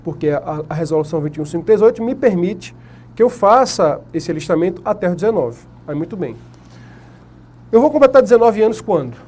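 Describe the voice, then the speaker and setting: A man's voice: sits high, sing-song, fairly quick, darkish tone, husky. A man with a Brazilian Portuguese accent giving an exam-prep lecture at a whiteboard. He asks the class questions and answers them himself. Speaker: teacher.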